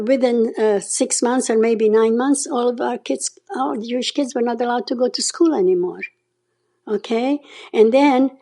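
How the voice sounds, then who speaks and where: An older woman in her nineties with a Hungarian-Czech accent and a warm, mellow tone. She tells her story unhurriedly, checking in with a frequent questioning "okay?". Her voice sits somewhat high. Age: 90s. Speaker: interview guest. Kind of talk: oral history interview.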